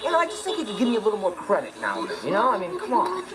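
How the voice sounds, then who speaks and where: Cocky American teen boy, mischievous, high voice, swooping intonation, slangy sitcom delivery. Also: huge pitch swings, very fast, very noisy. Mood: aggrieved. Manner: slangy, teasing, exaggerating. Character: cocky, mischievous, easygoing teenage stepbrother. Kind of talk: sitcom monologue to the viewer. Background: loud music.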